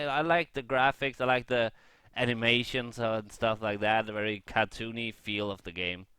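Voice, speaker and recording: A man giving a casual, rambling review as a gaming vlogger, unscripted and halting, thinking aloud as he goes. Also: medium pitch, a bit bright, clean tone, no room sound.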